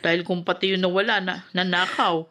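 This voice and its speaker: A woman's voice: lowish voice, level tone, a little fast, bright, forceful tone. A woman playing a caring, protective young adult daughter in a Filipino radio drama. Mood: concerned.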